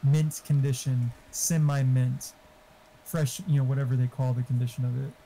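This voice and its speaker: Male, dark relaxed tone, little pitch movement. Casual, sweary, rambling gamer chat over faint noise and typing. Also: moderate pace, low-quality recording.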